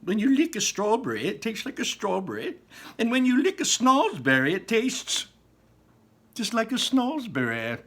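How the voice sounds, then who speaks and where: A man voicing an impatient, eccentric male candy maker, exclaiming and rushing through his lines in a storybook reading. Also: sits high, wildly swooping pitch, a bit bright, voice pushed up, heavily acted. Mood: excited. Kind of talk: storybook read-aloud.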